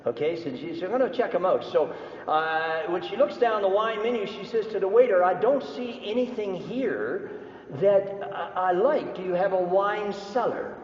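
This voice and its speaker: Canadian man, fairly high voice, teasing expo speaker, distant mic, echoing hall, dull sound. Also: lively intonation, moderate pace, a little hiss or hum. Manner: playful, teasing audience interaction. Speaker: business speaker. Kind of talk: live motivational talk.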